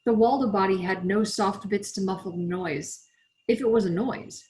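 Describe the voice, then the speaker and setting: A woman's voice: mid-range voice, moderate pace, darkish tone. A woman reading as an author, in the style of scripted audiobook narration. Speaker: author reading from own novel.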